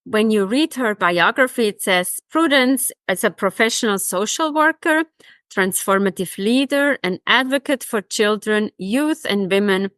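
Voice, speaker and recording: A woman's voice: mid-range voice, fairly bright tone, no room sound. A woman hosting a podcast, introducing guests and asking interview questions.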